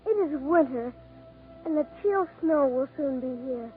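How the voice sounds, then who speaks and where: A high, gentle little swallow, speaks in wistful, formal, poetic lines. It is 1940s radio acting, heard through a thin vintage broadcast with faint music behind. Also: female voice, lively intonation, unhurried, narrow, tinny audio. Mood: tender, devoted. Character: small, devoted, gentle swallow, wistful storyteller.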